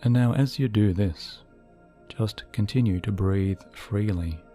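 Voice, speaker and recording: Male meditation guide, soothing and deliberate, soft dark tone, faint music underneath. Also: on the low side. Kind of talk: hypnotic guided meditation, scripted narration. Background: soft background music.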